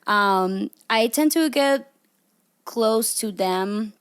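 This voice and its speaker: A woman's voice: fairly high pitch, extremely slow, clear, resonant tone. A young woman from Ecuador, a podcast guest, chatting casually with many fillers and easy laughs. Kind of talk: casual chat.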